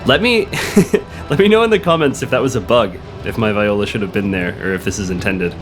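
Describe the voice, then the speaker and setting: A man with a cold, hoarse and a little sniffly, chatting casually while playing a video game, thinking aloud and reading on-screen text. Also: lowish voice, brisk. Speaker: let's play host. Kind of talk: casual gameplay commentary. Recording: game music playing behind the voice.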